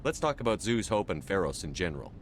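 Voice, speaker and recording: A man playing a steady, dutiful human military commander in a video game, speaking in a terse, purposeful way. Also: low-pitched, fast talker, a little hiss or hum. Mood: resolute. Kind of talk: video game dialogue acting.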